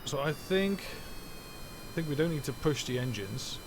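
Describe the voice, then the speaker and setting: A man's voice: fairly high pitch, animated, moderate pace, background noise. A man whose first language is Dutch, giving rambling, self-correcting live commentary while streaming a game from home.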